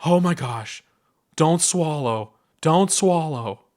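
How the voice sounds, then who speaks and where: A huge but gentle female monster with tusks, put on by a male narrator. The voice is lifted high and fretful, full of storybook anxiety. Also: expressive, takes it slowly. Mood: panicked. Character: anxious, gentle female giant tusked monster.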